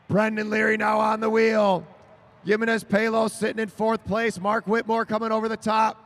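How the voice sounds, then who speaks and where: A man calling a track cycling race live, rising to shouts as the sprint builds. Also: very high-pitched, creaky. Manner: excited, shouting names over the finish. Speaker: race announcer. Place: velodrome over a PA.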